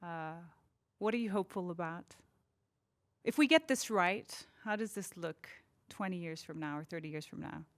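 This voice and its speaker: Female host from Nepal, lowish voice, reflective, restarts her sentences. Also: animated. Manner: thoughtful, halting restarts. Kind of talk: interview questions.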